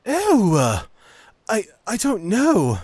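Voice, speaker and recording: A man's voice: sits high, wildly swooping pitch, slow, darkish tone, husky, no room sound, full character voice. A man playing an earnest, dutiful knight scout in a fantasy audio drama. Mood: disgusted.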